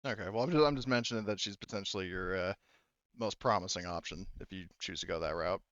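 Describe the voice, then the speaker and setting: A man's voice: low-pitched, fast, fairly bright tone, no echo, highs cut off. A man running a tabletop roleplaying game as game master, talking casually and often restarting his phrases.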